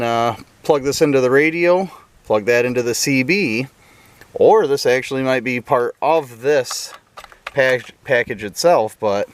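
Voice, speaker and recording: Casual man explaining a hands-on car project. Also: mid-range voice. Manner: informal, hands-on explaining, addressing viewers. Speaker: car restoration vlogger. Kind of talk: casual do-it-yourself vlog narration.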